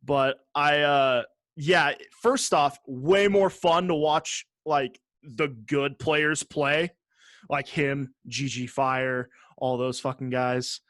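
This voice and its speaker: A man's voice: mid-range voice, fairly even intonation, takes it slowly, fairly bright tone, no echo. A man co-hosting a podcast in casual chat, talking bluntly and swearing freely. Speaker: podcast co-host.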